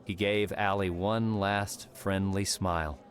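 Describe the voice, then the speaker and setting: Low-voiced man narrating a story. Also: fairly even intonation, slow pace. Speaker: audiobook narrator.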